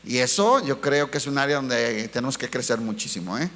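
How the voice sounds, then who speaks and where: A man preaching a sermon as a pastor. He asks the congregation rhetorical questions, calls them brothers, and slows down to stress key words. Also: medium pitch, animated, fairly bright tone, slight background noise.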